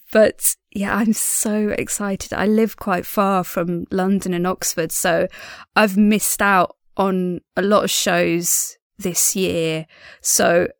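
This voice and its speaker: A woman's voice: mid-range voice, clear, resonant tone, no room sound. Chatty, tentative woman with a dry, close sound. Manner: giggles often while talking. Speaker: podcast diarist and audio drama creator. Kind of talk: casual audio diary, chatty monologue.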